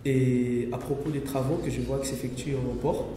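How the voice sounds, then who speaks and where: A man's voice: mid-range voice, level tone, moderate pace. A young man, a merchant navy student, giving brief, hesitant interview answers in a large reception room. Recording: echoey hall with crowd.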